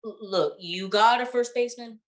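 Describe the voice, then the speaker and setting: A woman playing a confused, questioning male comic sidekick in a 1940s radio comedy routine, speaking haltingly and with exasperation. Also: on the high side, close to an everyday voice. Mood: puzzled, exasperated.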